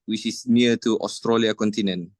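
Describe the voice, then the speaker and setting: A man's voice: lowish voice, steady tone, normal speed, darkish tone, dry recording, studio quality. A man moderating a Q&A over a video call, putting questions to the speaker politely, with many fillers. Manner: formal, hesitant, many fillers. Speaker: session moderator.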